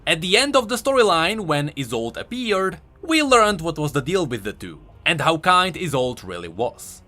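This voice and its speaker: A man's voice: on the high side, big pitch swings, moderate pace, pushed and bright, soft background music. A man doing an enthusiastic, conversational voice-over commentary as a video host. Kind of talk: game lore commentary voice-over.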